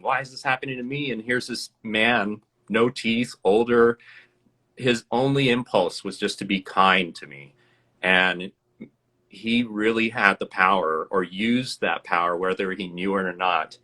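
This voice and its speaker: Interview guest, male, American, bright lowish voice, reflective storyteller. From USA. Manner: reflective, earnest, laughs often. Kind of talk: storytelling in a casual live interview.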